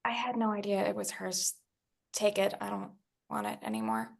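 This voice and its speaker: Woman acting defensive dancer, medium pitch, fry-laden voice, guarded, conversational, film script read. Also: very animated, moderate pace.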